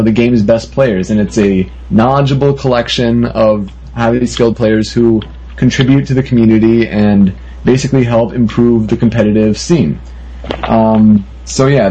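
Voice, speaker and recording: A man's voice: mid-range voice, warm tone, breathy edge, rough recording. A man hosting a gaming podcast, talking casually and often saying "you know". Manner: filler-heavy, frequent "you know". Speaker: podcast host.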